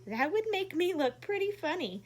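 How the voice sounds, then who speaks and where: A woman's voice: very high-pitched, very animated, normal speed, pitched above the performer's own voice. A woman voicing a kind, motherly bear in a storybook with a tender, reassuring delivery. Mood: gentle. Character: kind motherly female bear, gentle and comforting.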